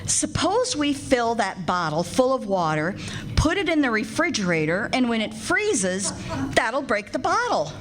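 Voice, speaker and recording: A woman acting a patient, wry, long-suffering housewife in an old-time radio comedy, fretful and gently teasing. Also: fairly high pitch, sing-song, measured pace. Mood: patient, helpful. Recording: noisy live stage recording.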